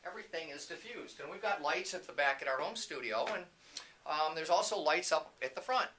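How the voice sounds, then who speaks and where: A man's voice: on the high side, expressive, brisk, pushed and bright. A man casually narrating a walking tour indoors in an informal, off-the-cuff, chatty way. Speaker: CEO and presenter of a training company, giving a tour. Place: indoors, walking through a video production facility. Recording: light background noise, room ambience.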